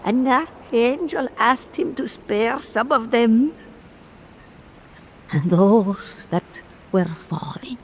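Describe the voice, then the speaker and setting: A man plays an old island storyteller in a folk radio drama, wry and pious. He speaks very slowly and deliberately, with rain and noise behind him. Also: very high-pitched, full character voice. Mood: eerie, solemn. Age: older.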